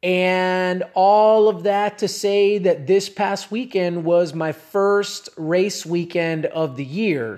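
A man in his late thirties talks alone into a podcast microphone in a loose, rambling way. His voice sits a little high for a man, and he stops often with "um" and "you know" and starts his sentences over. The delivery is relaxed and conversational, like catching up with regular listeners. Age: 30s. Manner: casual, rambling, with frequent fillers and restarts. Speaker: podcast host and cycling coach. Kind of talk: solo podcast monologue.

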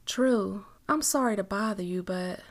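A woman's voice: medium pitch, unhurried, natural voice. A woman voicing a guarded, easily flustered woman falling in love, hesitant and apologetic, in an audiobook romance. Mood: sheepish, apologetic.

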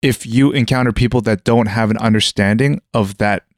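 A young man with a fairly low voice muses aloud in a relaxed conversation. He often restarts sentences and leans on "like" as he gropes for his point. The sound is dry and close to the mic. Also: normal speed, no room sound. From Western world (Canada). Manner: hesitant, many restarts and "like" fillers, thinking aloud. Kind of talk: casual conversation.

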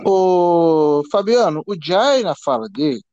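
A man's voice: high-pitched, big pitch swings, takes it slowly, darkish tone, no room sound. Man prompting a co-host, muffled sound.

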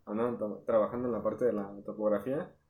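A man's voice: lowish voice, fairly even intonation, fast, roomy sound, narrow, tinny audio. A young man with a Mexican accent, a jokey architecture student talking in slang. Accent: Mexican Spanish. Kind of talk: casual group chat.

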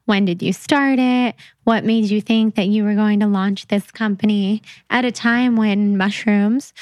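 A woman's voice: medium pitch, steady tone, unhurried, a little mellow, no echo. A young adult woman hosting a podcast, chatty and upbeat as she asks questions. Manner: chatty, upbeat interviewer with frequent backchannels. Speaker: podcast host. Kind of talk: casual interview chat.

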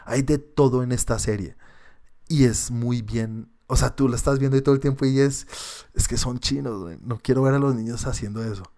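A man's voice: mid-range voice, fairly quick. A man with a Colombian accent co-hosting a podcast, chatting casually about shows and films, rambling and swearing. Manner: animated, swearing, laughing, rambling. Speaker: podcast co-host.

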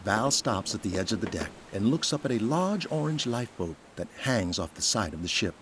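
A man narrating a scripted audio drama in a measured, descriptive storytelling manner. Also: on the low side, big pitch swings, noisy background. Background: music.

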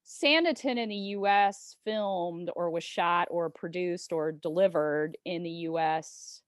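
A woman's voice: on the low side, measured pace, dry recording, clean studio sound. A woman hosting a podcast, asking questions in a conversational interview style.

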